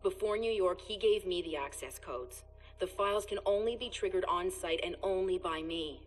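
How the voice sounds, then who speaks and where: An actress playing a serious, defensive intelligence operative in a video game, earnest and controlled. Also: female voice, on the low side, moderate pace, soft background music, close to an everyday voice. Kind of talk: video game cutscene acting.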